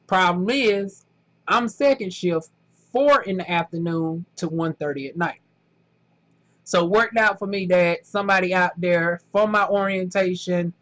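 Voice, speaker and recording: A man with a thick Southern US accent and a notably high voice talks straight to camera. He rambles chattily through his story, peppering it with "honey" and "y'all" in a relaxed vlog style. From southern United States. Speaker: vlogger.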